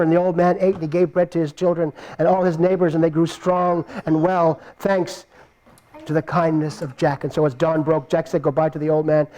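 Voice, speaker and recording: Lively storyteller man at a puppet show. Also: a little high, poor audio. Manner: lively, repetitive folk-tale telling.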